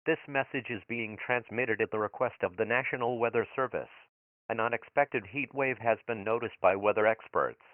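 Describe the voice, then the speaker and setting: A man playing a grave emergency alert announcer, reading formal scripted bulletins in a flat official way through a radio filter. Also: on the low side, moderate pace, no room sound. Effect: radio broadcast filter. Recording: muffled, phone-like radio transmission.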